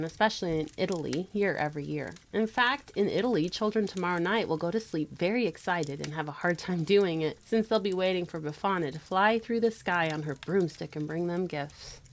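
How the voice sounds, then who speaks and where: A woman playing a mother who gently tells a legend to children in an audio drama. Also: on the low side, lively intonation, brisk, few pauses, a little hiss or hum. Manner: gentle, explanatory storyteller.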